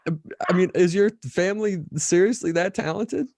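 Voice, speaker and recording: A man's voice: high voice, moderate pace, vocal fry, darkish tone, dry recording, clean studio sound. An American man in his thirties hosting a casual interview, eager and often restarting his phrases. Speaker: podcast host. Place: small indoor room. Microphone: desk mic up close, headphones.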